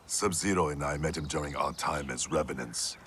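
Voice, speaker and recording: A man's voice: rumbling low, a little fast, fairly bright tone, a little hiss or hum. A man playing a stern, dutiful warrior in a video game cutscene, terse and formal. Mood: grave, dutiful. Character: stern, dutiful male warrior, once undead.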